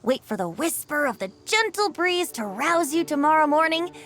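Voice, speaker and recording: A high-voiced, playful boyish bard. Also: female voice, big pitch swings, moderate pace, a bit bright, slight background noise. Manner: florid, self-assured. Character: playful, theatrical youthful male bard. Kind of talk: video game voice acting.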